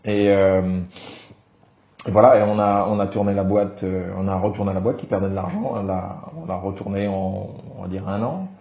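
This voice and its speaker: A man in his forties from France, speaking low and unhurried as a podcast guest. He talks through his career with plenty of fillers and restarts. The sound is a little dull and echoes in the room. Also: steady tone. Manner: hesitant, many fillers and restarts, light chuckles. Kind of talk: relaxed conversational interview.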